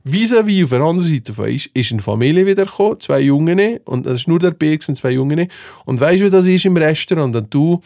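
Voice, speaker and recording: A man with a Swiss accent telling a casual anecdote in conversation, slangy and animated, acting out what people said. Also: on the high side, quick pace, no echo, studio quality. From Switzerland. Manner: animated storytelling with slangy swearing and acted-out quotes. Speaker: podcast host telling a personal story.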